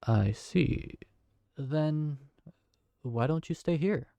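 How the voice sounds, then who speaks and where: Guy playing a polite young tavern owner, a bit flustered, warm low-key voice, dry close mic. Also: extremely slow, creaky, dry recording, exaggerated voice. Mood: earnest. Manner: polite, earnest, slightly flustered. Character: young man who just inherited a magical tavern. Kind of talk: fantasy audio drama acting.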